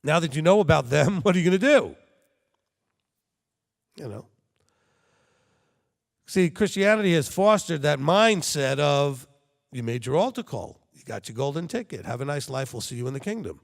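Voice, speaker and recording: A man's voice: fairly high pitch, sing-song, moderate pace, gravelly, long pauses, in a large hall. A man preaching a sermon to his congregation, talking conversationally and checking in with frequent rhetorical questions. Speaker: congregation leader.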